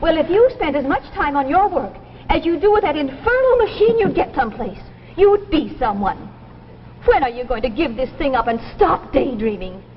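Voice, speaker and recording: A nagging, exasperated big sister, very high and swooping in pitch. She scolds insistently in an old sci-fi drama, through a muffled broadcast. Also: very animated, normal speed. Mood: exasperated, scolding. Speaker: actor in a science fiction drama. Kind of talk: 1950s science fiction anthology acting. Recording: muffled, noisy 1950s broadcast with reverb.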